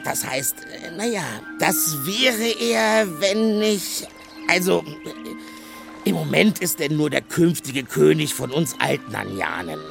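An actor playing a stocky, hearty, talkative dwarf in a children's audio drama, with a gravelly, creaky voice and a blustery, excitable manner. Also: male voice, on the high side, slow pace, over music, heavily acted. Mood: explaining, hesitant. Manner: blustery, excitable, colourful speech.

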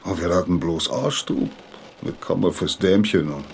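An actor playing a plain-spoken master blacksmith and family father in a radio play, telling things in a halting, homely way. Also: low voice, creaky, slight background noise. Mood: wistful, homely. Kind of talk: radio play character dialogue.

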